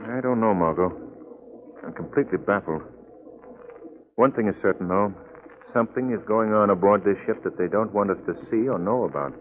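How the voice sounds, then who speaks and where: An actor playing a well-spoken, courteous but firm gentleman hero, in crisp 1940s radio style. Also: fairly low pitch, a little fast, pauses often, a little hiss or hum, natural voice. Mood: wary, composed. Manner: crisp, formal, educated phrasing. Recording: tinny, band-limited 1940s radio recording.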